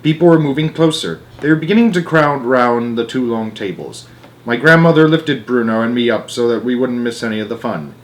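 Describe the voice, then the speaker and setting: A man narrating a children's storybook aloud in an animated read-aloud style. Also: mid-range voice, fairly quick, rough recording.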